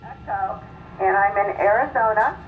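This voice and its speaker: A woman's voice: medium pitch, moderate pace, phone-quality sound. A woman amateur radio operator chatting casually on air, heard through a shortwave single-sideband receiver. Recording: thin, noisy, distant shortwave radio reception.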